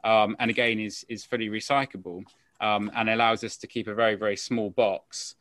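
A man's voice: fairly low pitch, flat delivery, fairly quick, fairly bright tone. A man on a business panel describing his company's packaging in a casual, rambling way with many fillers. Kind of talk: panel discussion.

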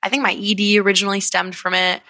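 A woman's voice: medium pitch, little pitch movement, quick pace, sharp tone, dry recording. A young woman in her twenties from Connecticut, hosting a solo podcast in a candid, rambling way, full of fillers and swearing, and sounding sniffly and congested. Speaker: podcast host. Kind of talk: casual solo podcast talk.

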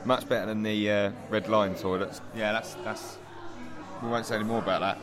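A man's voice: on the low side, flat delivery, brisk, music in the background. A man co-hosting a podcast with casual, informal banter. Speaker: podcast co-host. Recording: busy pub crowd noise. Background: pub chatter.

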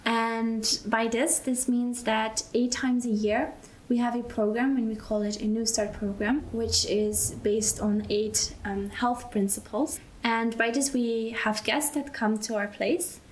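A young woman from Belgium, a mission school student, answering interview questions in an unhurried way and often pausing to find her words. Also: on the high side, steady tone, a little hiss or hum, poor audio. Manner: unhurried, searching for words, restarts.

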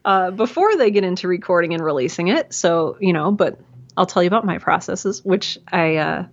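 A woman's voice: lowish voice, animated, fairly quick, muffled recording. A woman, talking casually and self-deprecatingly as an interview guest, with lots of fillers and restarts. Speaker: podcast guest, audio drama creator. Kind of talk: conversational interview. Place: home room hung with towels.

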